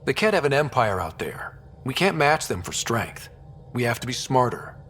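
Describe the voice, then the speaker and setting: A man's voice: medium pitch, very animated, normal speed, a bit bright, a little hiss or hum. A man playing an earnest, frank space explorer in a video game, speaking directly and conversationally. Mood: sober, sincere. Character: earnest, frank young male space explorer leading a crew. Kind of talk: video game dialogue acting. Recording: music under the voice.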